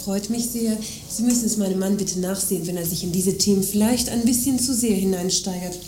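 A woman's voice: medium pitch, a little fast, mellow, faint music behind, natural voice. A woman acting an aggrieved, self-pitying wife of an arms dealer in a satirical radio play, polite but complaining. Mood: polite, apologetic. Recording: roomy sound with faint noise.

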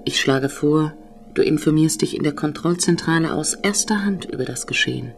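A woman's voice: deep voice, normal speed, vocal fry, slight background noise. A woman playing a courteous, composed female station computer with a flirtatious streak in a German sci-fi radio play. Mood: businesslike. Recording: music behind the voice.

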